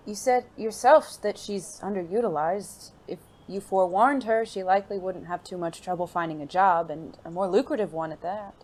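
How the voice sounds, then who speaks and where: A performer playing a gentle, refined gentleman companion in an audio drama, speaking formally, earnestly and in a measured way. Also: medium pitch, close to an everyday voice. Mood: gentle, reasoning. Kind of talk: intimate audio drama dialogue. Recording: faint noisy background ambience.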